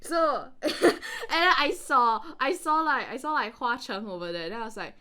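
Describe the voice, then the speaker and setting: A woman's voice: high voice, sing-song, normal speed, pushed and bright. A woman co-host chatting casually on a read-along podcast in a giggly, rambling way. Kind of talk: casual reaction chat.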